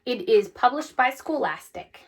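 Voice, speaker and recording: A woman reading a children's story aloud with deliberate, expressive phrasing. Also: on the high side, gravelly, slightly rough. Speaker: storybook reader. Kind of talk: children's read-aloud, scripted narration.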